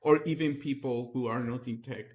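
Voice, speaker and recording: Non-native english guy hosting, curious, asks follow-ups, mid-pitch voice. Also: moderate pace, a little mellow. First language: not English. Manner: curious, asks follow-up questions with repeated words. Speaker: podcast host. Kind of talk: casual interview.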